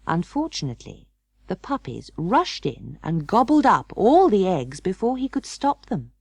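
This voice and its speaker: A woman narrating a children's story in an expressive, measured and dramatic storytelling manner. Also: medium pitch, creaky, dry recording. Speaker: audiobook narrator.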